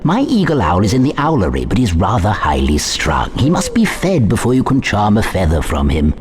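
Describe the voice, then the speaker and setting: Wildly swooping, genial shopkeeper. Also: male voice, lowish voice, wildly swooping pitch, brisk. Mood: genial, instructive. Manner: effusive, swooping delivery. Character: genial, theatrical old-fashioned shopkeeper, very polite. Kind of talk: video game voice acting.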